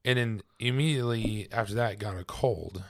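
A man with a raspy, hoarse voice from laryngitis, pitched on the low side. He co-hosts a casual podcast chat, stumbling through ums and letting his words fade out. The sound is dry and close to the microphone. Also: dry recording. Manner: halting, with many ums and trailing off quietly. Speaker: podcast co-host.

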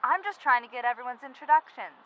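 A woman's voice: sits high, expressive, quick pace, dull audio. A woman playing an eager, pushy 18-year-old girl investigating a missing friend in an audio drama, teasing and bossy. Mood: coaxing. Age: young adult. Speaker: amateur investigator recording the trip.

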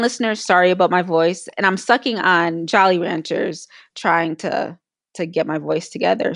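A woman's voice: fairly low pitch, gravelly, fairly bright tone, dry recording, studio quality. A woman co-hosting a casual podcast, telling her story while she is losing her voice. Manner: candid, storytelling about her illness. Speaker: podcast co-host.

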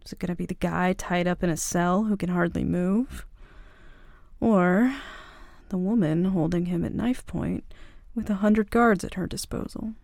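Woman playing a cocky, creaky-voiced young mafia princess. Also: fairly low pitch, moderate pace, a little mellow, no room sound, clean studio sound. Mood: taunting, menacing.

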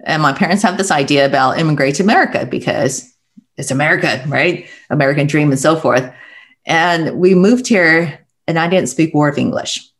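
Reflective Taiwan-born woman telling her story. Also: low-pitched. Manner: reflective, halting, with small restarts. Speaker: guest. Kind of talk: conversational interview storytelling.

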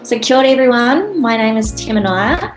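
A woman's voice: fairly high pitch, expressive, brisk, music in the background. A woman, a guest on a podcast, answering casually and a little hesitantly, with many "like"s. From New Zealand. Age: 20s. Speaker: guest, psychology student and artist. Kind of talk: interview answers.

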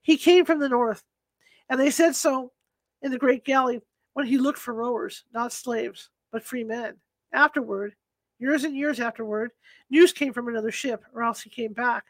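A woman's voice: a little high, normal speed, no echo. A woman reading aloud as a dreamy young man who speaks absently, as if half in a vision. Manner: absent-minded, distant storytelling.

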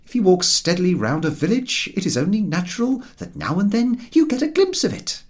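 A man's voice: high-pitched, sing-song, a little fast, voice pushed up. A man voicing a sardonic, grumbling Englishman in a storybook reading, with sarcastic, emphatic, pointed delivery.

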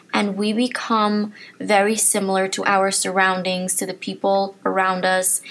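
Young woman doing a motivational podcast, kinda gravelly and hoarse, losing her voice, really earnest. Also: medium pitch, a bit bright. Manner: earnest, encouraging, speaks straight to listeners. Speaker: podcast host. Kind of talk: motivational solo podcast talk.

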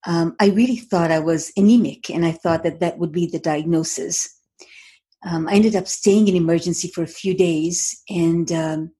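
A middle-aged woman from Tanzania speaks as a guest on an interview show. Her voice sits a little low, dark and husky. She talks in a measured, reflective way, pausing often with soft ums and uhs. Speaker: guest, author. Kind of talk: interview conversation.

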